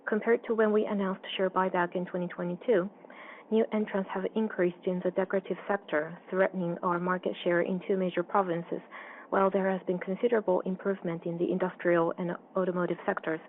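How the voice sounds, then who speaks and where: A woman interpreting a formal financial results presentation on a conference call in an even, businesslike manner. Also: on the low side, fairly quick. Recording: dull, slightly noisy call audio.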